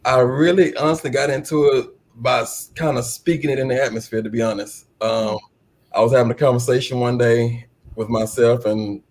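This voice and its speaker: An American man answering interview questions casually, in an unhurried, reflective way. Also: medium pitch, fairly even intonation, a little mellow. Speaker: stage actor, interview guest.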